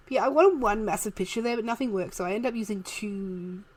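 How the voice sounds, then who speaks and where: A woman, chatting softly and laughing often while narrating a game build, her voice a little worn. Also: medium pitch, rough recording. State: losing her voice. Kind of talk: casual voice-over chat. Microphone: home desk microphone, moved closer partway.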